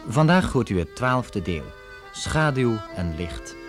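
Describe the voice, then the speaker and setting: A man's voice: on the low side, big pitch swings, slow. A man reading a scripted introduction to a radio drama serial as its announcer. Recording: music loud behind the voice.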